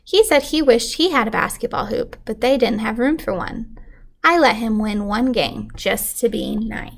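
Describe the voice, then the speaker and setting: Female teacher for picture-book read-aloud, highish voice with clear creak, expressive storytelling. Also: moderate pace.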